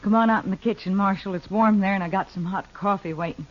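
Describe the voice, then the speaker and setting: A woman's voice: medium pitch, fast talker, slight background noise, lightly acted. Actress as Belle, tough, weary frontier woman. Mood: weary, kindly. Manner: plain-spoken, terse. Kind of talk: 1950s radio western acting. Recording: dull old radio recording.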